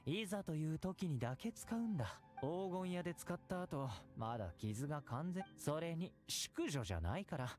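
A man playing a confident, easygoing young warrior and caring elder brother in an anime game, calm and teasing. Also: fairly high pitch, normal speed, hardly any pauses, faint music behind. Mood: light, teasing. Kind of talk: anime video game acting.

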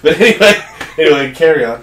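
A man's voice: on the high side, animated, quick pace, a bit bright, a little hiss or hum. Joking guy handing out advice, swears a bit, echoey room mic far off. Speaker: co-host. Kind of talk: casual chat. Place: small home den, indoors. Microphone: far camera mic.

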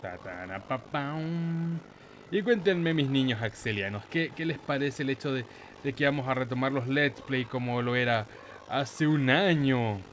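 A man with a Chilean Spanish accent giving casual, excitable let's play commentary in a playful, slangy, exclamatory manner. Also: mid-range voice, a little slow, a little hiss or hum. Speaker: gaming YouTuber, host.

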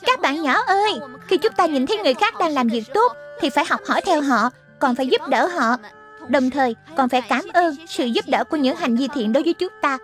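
A woman's voice: high voice, lively intonation, fairly quick, a bit bright, soft background music. A woman voicing a small, childlike lion spirit in a children's cartoon dub, explaining things earnestly and patiently like a teacher. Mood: patient teaching. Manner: didactic, explanatory.

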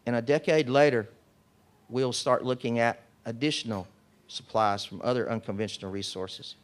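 A man giving a conference speech as an energy company executive, in a measured, formal way. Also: mid-range voice. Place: hotel conference room.

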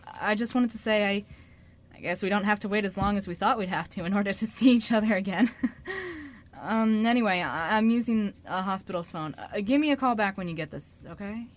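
A woman's voice: medium pitch, a little fast, close to an everyday voice. A woman playing an earnest, flustered young man in an amateur radio drama, with a hesitant, stammering delivery. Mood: nervous.

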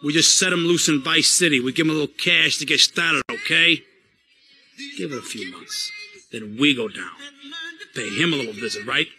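An actor playing a menacing, scheming middle-aged mob boss in a video game, assertive and fond of tagging orders with a questioning check. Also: male voice, fairly high pitch, moderate pace, music in the background, close to an everyday voice. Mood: scheming, commanding. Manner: assertive, punctuates orders with "okay?" and "right?". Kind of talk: video game cutscene acting.

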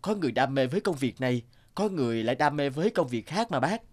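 A man voicing a kind, passionate young repairman in a cartoon, courteous and calm, with a Northern Vietnamese accent. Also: medium pitch, quick pace. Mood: earnest. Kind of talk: animated cartoon dialogue.

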